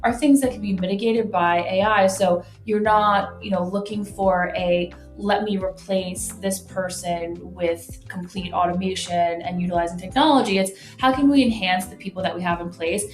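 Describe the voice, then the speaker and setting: A woman's voice: medium pitch, fairly even intonation, moderate pace, hardly any pauses, soft background music. A woman guest giving conversational business explanations in an interview, often tagging phrases with "like" and "right?". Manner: conversational, frequent "like" and "right?" tags. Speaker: guest, marketing executive. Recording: roomy, echoey sound.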